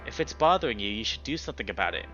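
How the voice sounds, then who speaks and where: A man's voice: lowish voice, very animated, rapid-fire, bright, forceful tone, muffled recording, faint music behind. A man voicing a young female animal character in a visual novel, teasing and caring, in a conversational way. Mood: concerned.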